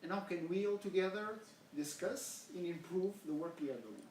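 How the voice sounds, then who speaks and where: A man's voice: sits high, moderate pace, darkish tone. A middle-aged man from Portugal with a Portuguese accent, giving an earnest academic seminar talk in English and asking the audience questions. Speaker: education researcher presenting. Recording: echoey room, from far off, faint hiss.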